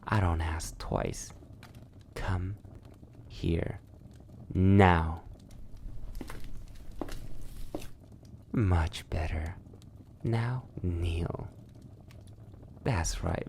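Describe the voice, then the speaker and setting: A man's voice: deep voice, vocal fry, darkish tone, slight background noise, heavily acted. A man playing a sadistic, possessive, centuries-old vampire in an intimate ASMR roleplay, silky and menacing, often dropping toward a whisper. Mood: commanding, pleased.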